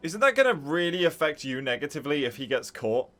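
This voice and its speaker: A man's voice: a little high, sing-song, fast, fairly bright tone, soft background music. A man streaming a game and reacting live in a casual, informal, interjecting way.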